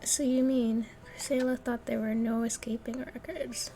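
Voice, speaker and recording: A woman's voice: fairly high pitch, level tone, moderate pace, a little hiss or hum. A woman giving casual, informal, reactive commentary as she plays a video game. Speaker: gaming streamer. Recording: loud game music behind. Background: video game music.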